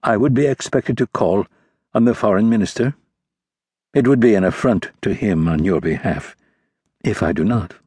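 A man voicing a grey-haired male military attaché who speaks slowly, carefully and formally. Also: on the low side, a little mellow, husky, no room sound, studio quality. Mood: guarded.

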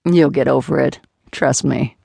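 A woman voicing Jason, a calm, commanding, patronizing man who speaks in a low, deadpan, measured way. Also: gravelly, husky, no room sound, studio quality, full character voice.

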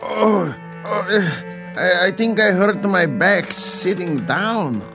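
An actor playing a feeble, aching old man in a radio drama, creaky and halting. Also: sits high, expressive, music in the background, full character voice. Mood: weary, aching. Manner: halting, groaning.

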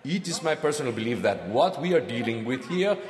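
A man's voice: medium pitch, animated, a little fast, natural voice. A man playing a pompous, formal professor delivering a public lecture in an audio drama. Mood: assured. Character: male professor proclaiming his sea-monster theory to assembly. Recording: echoing large hall reverb.

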